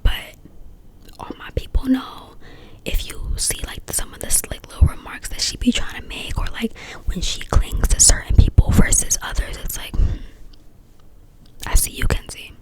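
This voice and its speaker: A woman speaking in a breathy whisper, giving casual, opinionated commentary in slangy, informal phrasing, in an ASMR-like style. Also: normal speed, rough recording. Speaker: vlogger reviewing a reality dating show.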